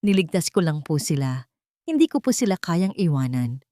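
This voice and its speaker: A woman's voice: low-pitched, very animated, moderate pace, warm tone, dry recording, clean studio sound. A woman voicing a frightened, humble housemaid in an audiobook, deferential and pleading. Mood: tearful pleading. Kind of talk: audiobook character acting.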